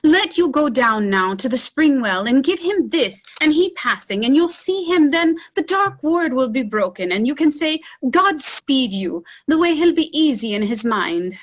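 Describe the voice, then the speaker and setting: High-voiced, earnest peasant daughter, played by a woman. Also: very animated, moderate pace, few pauses, low-quality recording. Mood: urgent, concerned. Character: young Irish peasant daughter, capable, reproachful. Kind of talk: stage drama read as virtual play.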